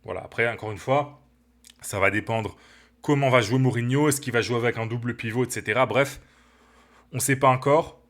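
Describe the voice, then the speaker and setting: A man with a cold hosting a football channel, talking to viewers in an animated, opinionated, casual way from his living room. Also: medium pitch, fairly quick. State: has a cold. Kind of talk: casual opinion commentary to camera.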